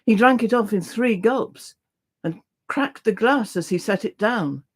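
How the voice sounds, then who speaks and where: A reader narrating a classic adventure novel aloud in an expressive storytelling style. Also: medium pitch, a little slow, slightly rough. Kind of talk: expressive audiobook reading of a novel.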